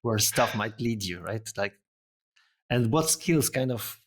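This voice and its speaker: A man's voice: lowish voice, expressive, unhurried. A man hosting a casual interview, asking questions in a friendly, hesitant way with many fillers. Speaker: podcast host.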